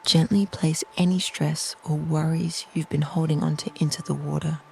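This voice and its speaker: This is a guided meditation narrator with a creaky, fry-laden voice. The delivery is slow, soft and soothing, close to a whisper, with little melodic movement. A faint ambient noise sits behind the speech. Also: mid-range voice, level tone.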